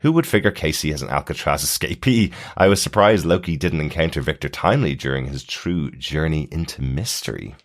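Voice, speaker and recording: A man's voice: extremely low pitch, lively intonation, brisk, few pauses. A man hosting a podcast, chatting casually and explaining his take on TV and listener feedback. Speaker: podcast host. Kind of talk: casual TV review chat, reading listener feedback.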